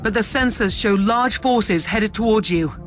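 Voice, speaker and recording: A man's voice: high voice, measured pace, a bit bright, faint music behind. A man playing a dutiful ship's officer in a video game, formal and alert. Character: apologetic, dutiful male military ship officer. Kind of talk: dramatic video game voice acting.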